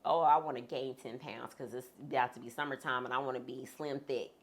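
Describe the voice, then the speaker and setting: Her voice sits extremely low and has a gravelly rasp to it. She is a woman being interviewed and talks frankly and conversationally, with a few humorous asides. Her husky tone stays steady throughout. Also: brisk. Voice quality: deep, husky voice. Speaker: interview guest. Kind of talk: candid sit-down conversation.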